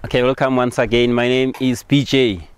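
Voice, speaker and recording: A man's voice: mid-range voice, level tone, moderate pace, clean tone. A man from Eswatini, a native Siswati speaker with a Swazi accent, explains things as a local tour guide, repeating and rephrasing simply.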